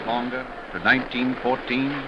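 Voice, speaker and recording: A man's voice: medium pitch, level tone, moderate pace, background noise. A man narrating a 1930s radio programme in an emphatic, dramatic, scripted announcer style, on an old recording. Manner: declamatory, emphatic. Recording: dull 1930s radio recording, music behind.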